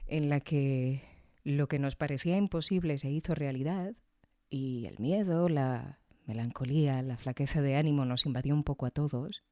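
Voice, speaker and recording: A woman's voice: rumbling low, moderate pace, dark tone, dry recording, studio quality. A woman hosting a podcast, giving reflective, conversational scripted commentary with tag questions. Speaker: podcast host.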